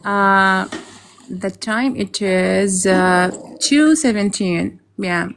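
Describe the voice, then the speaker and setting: A woman's voice: mid-range voice, very slow, a bit bright. A woman chatting casually and politely as a guest caller. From Iraq. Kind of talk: casual chat. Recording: faint noise on a live call.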